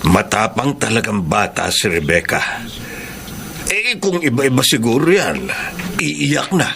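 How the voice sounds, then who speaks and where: An actor playing a proud, doting middle-aged father from the provinces in a radio drama, with a gravelly voice. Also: mid-range voice, very animated, measured pace. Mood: proud, concerned. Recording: clear background noise and ambience.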